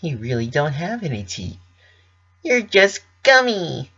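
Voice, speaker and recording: A man plays a young female cartoon pony, cheerful and affectionate. The voice sits high and bubbles with doting sweetness. The recording is slightly dull. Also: big pitch swings, a little slow, bright, forceful tone, husky, full character voice. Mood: delighted, affectionate.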